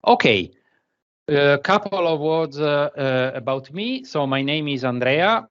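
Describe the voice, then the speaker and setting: Italian-accented man, halting, dull lo-fi sound. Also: fairly high pitch, expressive, fairly bright tone. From Italy. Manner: halting, searching for words between phrases. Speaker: conference speaker. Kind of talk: remote conference presentation. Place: office.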